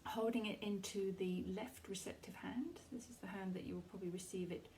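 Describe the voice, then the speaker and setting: A woman leading a guided meditation, giving soft, unhurried instructions in a soothing, reassuring manner with pauses between phrases. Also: medium pitch, fairly even intonation, a little hiss or hum.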